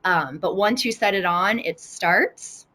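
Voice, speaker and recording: A woman's voice: medium pitch, slow, bright, forceful tone. A woman with an American accent, a teacher presenting an informal, conversational online tutorial. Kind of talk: casual webinar demonstration. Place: live online video session.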